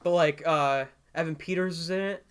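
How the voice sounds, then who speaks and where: A man's voice: fairly high pitch, steady tone, moderate pace, clear, resonant tone. A man co-hosting a casual podcast, rambling with lots of fillers and laughing easily. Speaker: podcast co-host. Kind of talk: casual chat.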